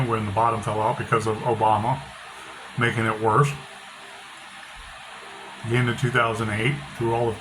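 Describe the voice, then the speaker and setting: Middle-aged guy with a big loud bassy voice, blunt opinionated rant, repeats himself, mid-pitched, noisy hiss behind. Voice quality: loud, bass-heavy voice. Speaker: author. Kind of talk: casual monologue.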